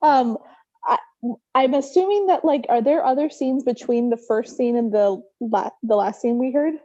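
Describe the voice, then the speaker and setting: A woman's voice: on the high side, normal speed, dark tone. A woman giving casual feedback in a tentative way, peppering it with "like". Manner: tentative, heavy use of "like". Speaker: workshop participant giving feedback. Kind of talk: casual chat. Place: online video meeting. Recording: slightly dull video call audio.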